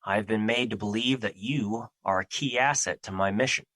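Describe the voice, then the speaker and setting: A man's voice: on the low side, moderate pace, pushed and bright, no room sound, studio quality. A man acting in an audio drama as a grave, brooding personified emotion who guards life, speaking in formal theatrical diction. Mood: urgent.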